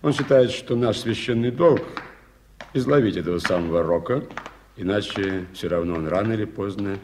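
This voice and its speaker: An actor playing a polite, well-informed gentleman in a Soviet radio play, speaking in a measured, explanatory way. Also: lowish voice, natural voice. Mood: earnest, explanatory. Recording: noisy 1980s radio play recording.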